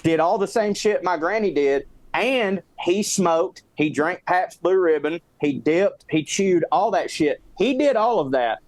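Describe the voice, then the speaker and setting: A man's voice: high-pitched, expressive, a little slow, few pauses, no echo. A man with a Southern US accent chatting casually on a podcast, rambling through personal anecdotes in profane, self-deprecating fashion. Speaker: podcast co-host.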